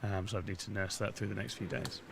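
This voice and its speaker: A man with a slightly husky voice, co-hosting a game stream and reading viewer messages in a big empty hall. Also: fairly low pitch, flat delivery, quick pace. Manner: reads chat aloud, warm agreeing fillers. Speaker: stream co-host. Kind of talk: casual commentary chat. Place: large empty tournament hall. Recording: clear hall ambience noise.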